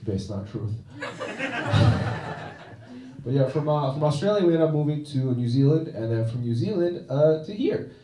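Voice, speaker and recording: A man's voice: fairly high pitch, animated, normal speed, pauses often, mellow, roomy sound, distant mic. A man doing a stand-up comedy set with a dry, self-deprecating delivery and frequent "uh" restarts, recorded on a comedy club stage. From China.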